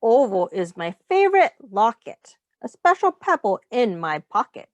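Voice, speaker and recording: A woman reading a picture book aloud to young children as a teacher, explaining simply and pausing to ask questions. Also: a little high, big pitch swings, no echo, muffled recording.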